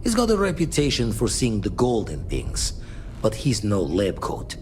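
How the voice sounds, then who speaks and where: An actor playing a gruff, street-smart spacefaring ex-pirate in a video game, talking in clipped, folksy slang. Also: male voice, on the low side, wildly swooping pitch, moderate pace, darkish tone, slight background noise. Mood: conspiratorial.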